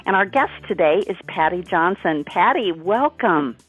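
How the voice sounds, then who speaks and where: A middle-aged woman hosting a radio interview, upbeat and chatty, often pausing on fillers and restarts. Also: on the low side, animated, breathy edge, dry recording, muffled recording, soft background music. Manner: upbeat, halting with many fillers. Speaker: radio show host.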